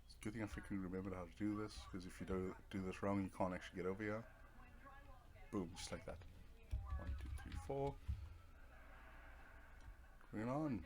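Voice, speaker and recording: Low-voiced man doing casual game commentary. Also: fairly quick, long pauses, a little mellow. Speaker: gamer playing and explaining a video game. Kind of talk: casual let's play commentary.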